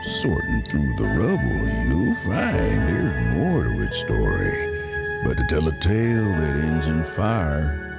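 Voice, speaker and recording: Very deep, booming, gravelly announcer. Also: male voice, huge pitch swings, loud music behind the voice, exaggerated voice. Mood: ominous, measured. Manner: measured, formal, portentous. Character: big booming male announcer, grand and ominous storyteller. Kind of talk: scripted dramatic Western narration.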